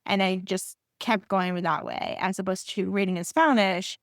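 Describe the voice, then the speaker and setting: A woman's voice: mid-range voice, steady tone, moderate pace, dry recording, studio quality. Chatty Puerto Rican podcast host woman. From Puerto Rico. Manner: chatty, enthusiastic, rambling. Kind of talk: casual interview chat.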